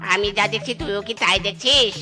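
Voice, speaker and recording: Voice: mid-range voice, normal speed, natural voice. An actor voicing a bossy, scheming male cartoon animal thief in a sly, exaggerated way. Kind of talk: comedy cartoon voice acting. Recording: music behind the voice.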